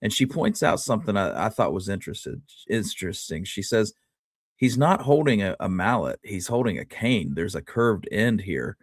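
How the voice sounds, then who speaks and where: A man co-hosting a show, chatting casually and thinking aloud in a halting way. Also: on the low side, very animated, breathy edge, no room sound, studio quality. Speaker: show co-host. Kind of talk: casual conversational commentary.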